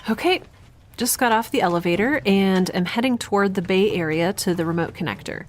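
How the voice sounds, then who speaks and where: A woman's voice: medium pitch, a little fast, natural voice. A woman playing a defiant, wry young rebel on the run in a science fiction audio drama, chatty and given to sarcastic asides. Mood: casual, rambling.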